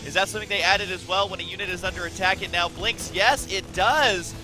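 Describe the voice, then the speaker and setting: Excitable high-voiced raspy game caster. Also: male voice, faint music behind. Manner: excitable, quick to exclaim. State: raspy from just waking up. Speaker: game commentator. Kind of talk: video game match commentary. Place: his own room at home.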